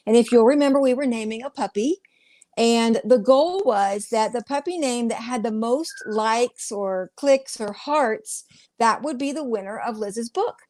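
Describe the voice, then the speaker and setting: A woman's voice: fairly high pitch, darkish tone. A woman co-hosting a casual live chat show in an informal, chatty way. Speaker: co-host.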